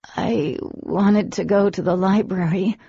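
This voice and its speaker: A woman's voice: mid-range voice, steady tone, slow, creaky, dark tone, breathy edge, dry recording, dull audio, heavily acted. A woman voicing a shaken young woman who speaks with a sore, strained throat, earnest and indignant.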